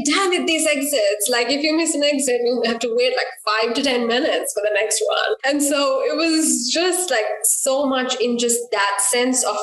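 Sri lankan-born woman guest, chatty storyteller, highish voice, echoey room. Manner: lively storytelling with quoted asides. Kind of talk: casual interview.

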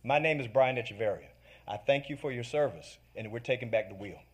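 A man speaking as a parent at a school board meeting, giving a forceful, impassioned public comment and repeating rallying lines. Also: medium pitch, fairly quick. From North Carolina.

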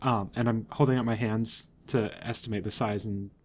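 A man's voice: on the low side, fairly even intonation, normal speed. A man playing in a tabletop audio drama, talking casually and improvising in character. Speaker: player on tabletop show. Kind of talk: casual improvised role-play.

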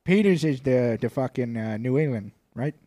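A man's voice: medium pitch, animated, moderate pace, dark tone, dry recording. A young man co-hosting a comedy podcast, swearing freely and riffing on regional accents with a friend. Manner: profane, joking, tries silly accents. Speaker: podcast co-host. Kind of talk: crude comedic banter.

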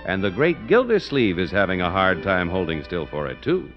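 A man announcing a radio show and reading a commercial in a brisk salesman's pitch, on a dull old radio recording. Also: very animated, faint music behind.

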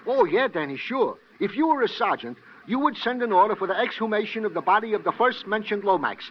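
A high, bouncy male voice plays a deferential, dim American police sidekick. He is obliging and repeats himself, in old radio drama style on a muffled recording. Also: expressive, fairly quick, slight background noise. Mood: eager, obliging. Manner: hesitant, repetitive, obliging. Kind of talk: 1940s-50s American radio crime drama acting.

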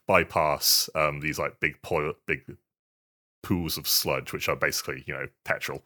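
A man with an extremely low voice and a bright, forward edge chats casually as a podcast co-host. He speaks haltingly, often doubling back on his words as he describes things. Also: fairly even intonation. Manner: hesitant, halting delivery with repeated words. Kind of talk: casual video game podcast chat.

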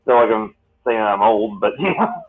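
Halting man in his late thirties on a tinny line. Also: lowish voice, sing-song. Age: late 30s. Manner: rambling, halting with many restarts. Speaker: podcast host. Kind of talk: casual podcast chat.

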